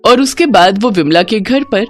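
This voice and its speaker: A woman narrating a scripted story in a dramatic, expressive storytelling manner. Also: mid-range voice, moderate pace. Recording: music bed under the voice. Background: background music.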